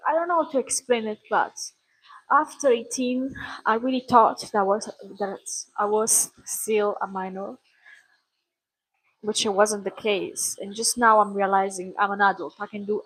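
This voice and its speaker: A woman's voice: a little high, husky, low-quality recording. A woman in her mid-twenties speaking in a personal vlog monologue, earnest and rambling, restarting her sentences often, with small grammar slips. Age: 20s. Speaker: vlogger.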